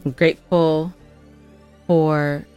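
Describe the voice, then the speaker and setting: A woman's voice: low-pitched, level tone, slow, smooth, highs cut off, soft background music. A woman co-hosting a casual recap podcast, gushing and repeating herself for emphasis. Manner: effusive, gushing, emphatic repetition. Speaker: podcast co-host and scriptwriter.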